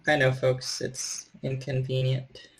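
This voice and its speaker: A man's voice: mid-range voice, completely flat delivery, takes it slowly, fairly bright tone, slightly rough, poor audio. A man speaking casually as a storyteller for a tabletop game on an online group call. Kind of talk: casual talk and improvised game narration.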